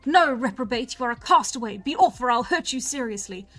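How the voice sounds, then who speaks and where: Storybook role: scornful young wife with a witchy streak, read by a woman. Haughty, mock-malignant, high female pitch. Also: normal speed, fairly bright tone, faint music behind, natural voice. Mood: mock menace.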